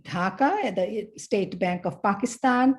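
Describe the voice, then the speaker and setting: This is a middle-aged woman from Bangladesh delivering an academic conference talk. Her delivery is unhurried and measured, broken into short phrases with pauses in between. Her voice sits at an ordinary middle pitch. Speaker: academic speaker.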